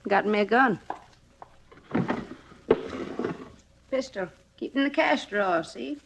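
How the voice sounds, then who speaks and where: An actress playing a blunt, surly middle-aged hotel manageress in a 1950s radio drama, speaking curtly in folksy, ungrammatical phrases. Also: female voice, mid-range voice, moderate pace, vocal fry, very noisy. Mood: stubborn, defiant. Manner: curt, deadpan, ungrammatical folksy speech. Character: surly, blunt hotel manageress, unhappily married, self-reliant.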